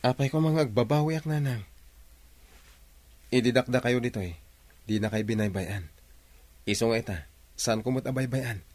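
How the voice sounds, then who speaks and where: A man's voice: mid-range voice, normal speed, long pauses, darkish tone, no echo, close to an everyday voice. A Filipino man acting an earnest, tender young suitor in an Ilocano radio drama, speaking insistently. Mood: determined. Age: young adult.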